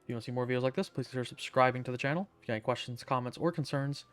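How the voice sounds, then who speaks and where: A man's voice: medium pitch, racing, dry recording, faint music behind. A man giving casual gameplay commentary as a streamer, with a wry, offhand, sweary manner. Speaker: video game streamer.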